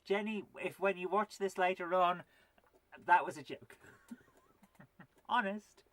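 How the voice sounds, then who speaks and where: A woman's voice: fairly low pitch, slow, a bit bright. Woman around forty with a cold, chatting away on a live retro game stream, jokes at her own expense. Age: 40s. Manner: chatty, self-deprecating, talks to viewers while playing; mutters instructions to herself while playing. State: has a cold. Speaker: retro gaming host. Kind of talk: live gameplay stream chat.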